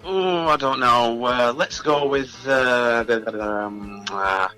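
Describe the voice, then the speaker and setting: A man's voice: medium pitch, slow pace, bright, forceful tone, soft background music. A man being interviewed as a musician, chatting casually and good-humouredly in a rambling way that circles back over phrases.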